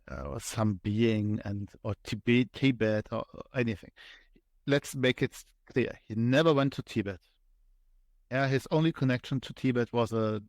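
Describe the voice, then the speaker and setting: A man with a German accent, a podcast guest explaining things step by step with frequent thoughtful pauses. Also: mid-range voice, no echo. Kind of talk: conversational interview explanation.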